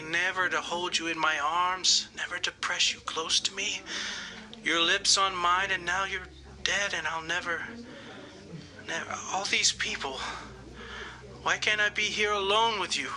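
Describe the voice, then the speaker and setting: A man's voice: a little high, natural voice, poor audio. A man playing a heartbroken bridegroom in radio drama, plaintively mourning his lost love. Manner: plaintive, lovelorn monologue. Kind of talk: live radio horror drama acting. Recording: crowd murmur behind the voice. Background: murmuring crowd.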